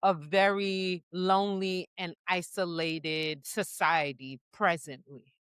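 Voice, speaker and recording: A woman co-hosting a faith-based talk show, explaining her points in a measured way. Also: lowish voice, fairly even intonation, fairly bright tone, clean tone, no echo, studio quality. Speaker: co-host. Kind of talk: casual faith-based discussion.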